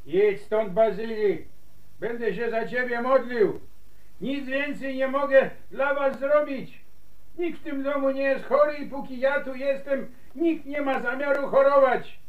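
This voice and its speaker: A stern, hard-hearted father-in-law in a radio drama. His voice is very high and deliberate, and it rings with echo on a slightly muffled recording. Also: fairly even intonation. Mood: stern, cold. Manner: stern, unyielding. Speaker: actor. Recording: dull, roomy, echoing radio recording.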